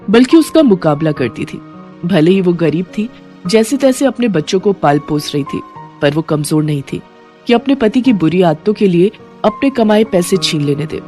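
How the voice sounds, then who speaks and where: A woman's voice: lowish voice, dark tone. A woman reading a scripted moral story as its narrator. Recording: music bed behind the voice.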